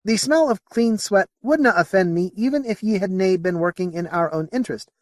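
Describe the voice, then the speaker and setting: A man's voice: high voice, brisk, a little mellow, no echo, clean studio sound, voice pushed up, lightly acted. A man voicing an earnest, inquisitive alien who speaks formally in a Scottish accent, in an audiobook story. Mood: earnest, curious. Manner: formal, old-fashioned dialect phrasing.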